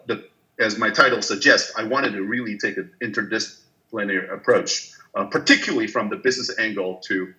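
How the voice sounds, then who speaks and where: Hesitant man from Taiwan, bright voice. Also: on the low side, rough recording. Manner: hesitant, many fillers and restarts. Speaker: business professor. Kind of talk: online guest lecture.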